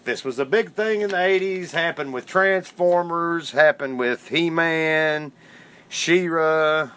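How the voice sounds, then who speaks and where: A man's voice: a little high, slow pace, sharp tone, clear, resonant tone. A man hosting a solo podcast, talking casually in an informal, loosely worded enthusiast's way. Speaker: podcast host. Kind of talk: casual solo podcast talk, retelling cartoon history.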